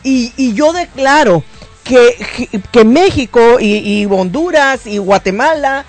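A woman's voice: fairly high pitch, expressive, slow. A woman pastor on a church program, speaking fervently and declaratively with frequent restarts.

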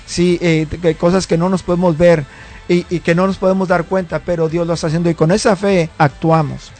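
A man's voice: high voice, steady tone, a little fast, a little mellow. A male pastor talking on a church program, earnest and building his points with repeated words.

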